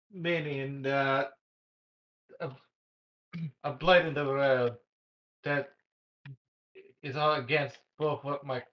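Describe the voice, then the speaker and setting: Lo-fi voice chat, man, tabletop player, slow, halting, occasional put-on Russian character voice. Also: a little high.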